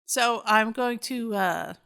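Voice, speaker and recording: A woman's voice: on the high side, slow, vocal fry, fairly bright tone, clear, resonant tone. A woman hosting a podcast, speaking informally, in casual chat or reading her own script aloud. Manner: informal, self-deprecating, sweary. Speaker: podcast host.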